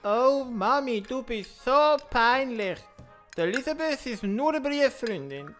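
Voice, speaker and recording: A woman's voice: a little high, lively intonation, measured pace, fairly bright tone. A woman playing a whiny, easily embarrassed young son in a comic audio drama, with a Swiss German accent. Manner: whining, reluctant. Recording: music clearly behind.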